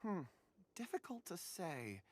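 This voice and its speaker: Gentle, lower-voiced polite young lady. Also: very animated, slow pace, exaggerated voice. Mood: thoughtful. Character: gentle, polite young woman fond of ores. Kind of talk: video game voice acting.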